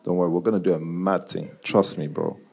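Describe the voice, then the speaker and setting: Husky, bantering London man. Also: low-pitched, fairly even intonation, fairly quick, a little mellow. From Nigerian, childhood years in Guyana, schooled in the UK. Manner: bantering, teasing listeners by name, slangy. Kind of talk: casual chat with a live audience and online viewers.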